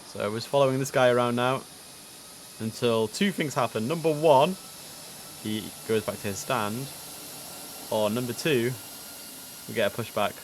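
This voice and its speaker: A man's voice: medium pitch, a little hiss or hum. A man hosting a casual live game stream, chatting and musing aloud as he commentates. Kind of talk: casual live gameplay commentary on an air traffic control simulator.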